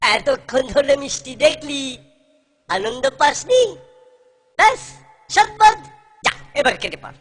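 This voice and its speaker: A man's voice: very high voice, very animated, a little slow, long pauses, a bit bright, exaggerated voice. A man voicing a stingy, scolding, middle-aged village sweet-seller in a comic cartoon. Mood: scornful. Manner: scolding, dismissive, mocking. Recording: music behind the voice.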